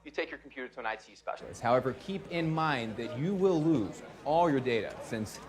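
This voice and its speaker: An actor playing a calm, controlling tech support phone scammer in a film, with official customer service patter. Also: male voice, mid-range voice, fairly quick, lightly acted.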